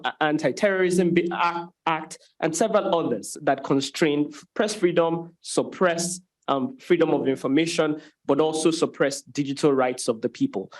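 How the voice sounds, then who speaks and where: Casting: man with a slightly high voice, panelist, hesitant and full of restarts. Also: a little mellow. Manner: hesitant, many ums and restarts. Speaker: panelist asking questions. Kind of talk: formal panel questions.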